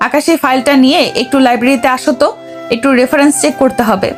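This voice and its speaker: Woman acting a high-voiced, proud young lady boss. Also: steady tone, fast, soft background music, lightly acted. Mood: commanding. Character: young rich female boss, proud, brisk, commanding, secretly flustered. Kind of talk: audio story dramatized acting.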